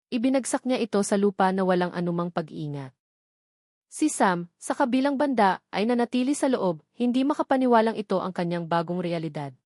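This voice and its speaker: A woman's voice: medium pitch, a little mellow, dry recording, clean studio sound. A woman with a Filipino accent giving a dramatic scripted narration of a romance story as an audiobook narrator.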